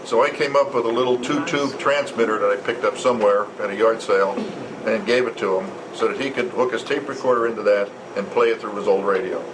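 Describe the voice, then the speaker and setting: Older man reminiscing over loud restaurant noise. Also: on the low side, fairly even intonation, quick pace. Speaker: club member. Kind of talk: casual reminiscing. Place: restaurant luncheon gathering. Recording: noisy restaurant, loud background chatter.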